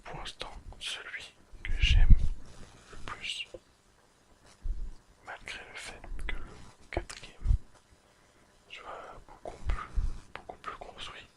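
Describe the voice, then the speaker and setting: A person whispering an ASMR recap in a breathy, unvoiced voice, speaking deliberately in short, separated phrases as the host. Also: a bit bright, rough recording. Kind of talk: whispered ASMR episode summary and commentary.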